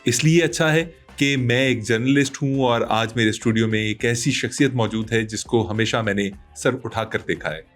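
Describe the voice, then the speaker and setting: A man's voice: mid-range voice, moderate pace, few pauses, fairly bright tone, soft background music. A man with a Pakistani Urdu accent hosting a comedy talk show, with a sore throat and an exasperated, protesting manner.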